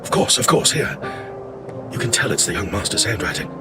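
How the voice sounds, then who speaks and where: An actor playing a courteous, loyal older family retainer in a video game, speaking formally and deferentially. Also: male voice, fairly low pitch, lively intonation, a little fast, close to an everyday voice. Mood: apologetic, hesitant. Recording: music behind the voice. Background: music.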